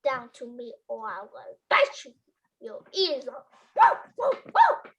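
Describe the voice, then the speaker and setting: A high, theatrical dog voice acted by a person from an amateur folktale reading. The animal is territorial and barky, yet humble and eager underneath. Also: wildly swooping pitch, slow, fairly bright tone, breathy edge, exaggerated voice, poor audio. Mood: fierce, challenging. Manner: barking, theatrical delivery. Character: snarling territorial dog who turns humble and hungry.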